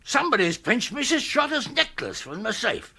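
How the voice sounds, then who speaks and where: An older upper-class English uncle in a radio comedy, peevish and exasperated. His voice is raspy yet sharp and swings up and down as he grumbles with agitated emphasis. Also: very high voice, big pitch swings, moderate pace, heavily acted. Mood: agitated, indignant. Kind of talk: radio comedy drama acting.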